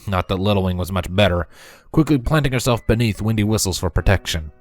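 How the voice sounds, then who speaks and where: A man narrating a story from a script in an expressive reading style. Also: fairly low pitch, quick pace. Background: music.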